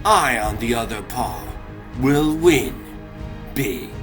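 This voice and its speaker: A man voicing a grandiose cat crime boss in a cartoon comic dub, pompous, deliberate and menacing. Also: mid-range voice, expressive, a bit bright, faint music behind. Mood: smug menace.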